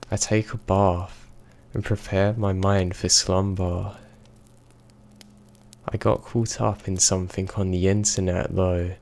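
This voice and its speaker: A man narrating a horror story in a slow, measured, even reading. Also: deep voice, creaky.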